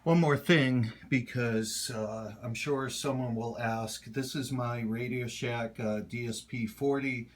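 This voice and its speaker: A middle-aged man speaks at a slow pace in a mid-range voice. He sounds like a hobbyist casually showing viewers around at home, halting often and rambling along without hurry. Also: mid-range voice, steady tone. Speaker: hobbyist showing his radio collection. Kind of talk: casual home video tour. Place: home kitchen.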